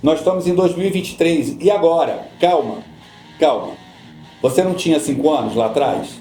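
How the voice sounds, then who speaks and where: A man's voice: on the high side, fairly quick, reverberant, faint music behind. Patient man explaining pension rules. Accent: Brazilian Portuguese. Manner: patient, repeats points, calms listener. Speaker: social security law guest.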